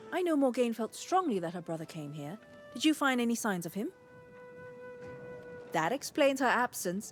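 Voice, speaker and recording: A woman's voice: mid-range voice, lightly acted. An actress playing a curious, analytical woman scholar in a fantasy video game, articulate and inquisitive. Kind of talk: video game dialogue acting.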